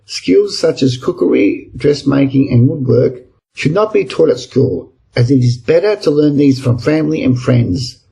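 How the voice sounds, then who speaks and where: A man's voice: mid-range voice, creaky, dark tone, husky, rough recording. A man with an Australian accent reading an exam essay as scripted narration. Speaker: essay reader.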